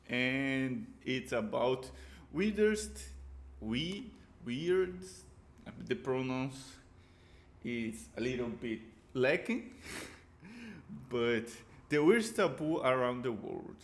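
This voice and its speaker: Brazilian man, native Portuguese speaker, reacting on camera in unhurried, gravelly, searching English. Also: mid-range voice. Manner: halting, repeats words, searches for English. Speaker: reaction video host. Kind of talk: casual reaction commentary.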